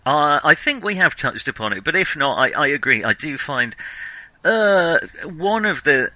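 A man co-hosting a casual film discussion, analytical and measured, often breaking off into hesitant asides. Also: on the high side, sing-song, sharp tone, no room sound, poor audio. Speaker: film podcast co-host.

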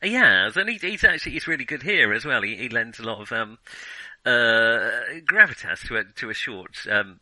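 A man's voice: medium pitch, lively intonation, normal speed, sharp tone, poor audio. A man co-hosting a casual film discussion, talking hesitantly and often circling back to rephrase himself. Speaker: film discussion podcast co-host. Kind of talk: casual film review chat.

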